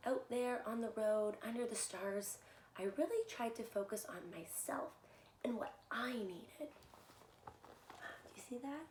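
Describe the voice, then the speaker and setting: Female children's storyteller, high voice, expressive and animated read-aloud delivery, roomy echo with faint noise. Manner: animated, addresses listeners with simple questions. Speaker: children's story reader and soccer coach. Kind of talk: expressive read-aloud of a picture book with asides to young children. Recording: echoey room, faint background noise.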